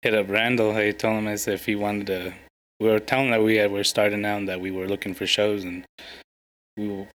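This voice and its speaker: A young man in a band answering casually, softly, his sentences trailing off. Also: fairly low pitch, little pitch movement, quick pace, low-quality recording. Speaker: band member. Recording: faint ambient noise.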